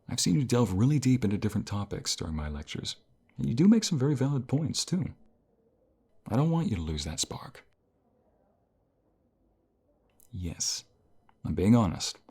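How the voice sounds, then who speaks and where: A man playing a caring, encouraging college professor in an intimate ASMR role play, speaking softly in a gentle, unhurried, reassuring way. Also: medium pitch, very animated, slightly rough. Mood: warm, sincere. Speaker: voice actor in an audio role play.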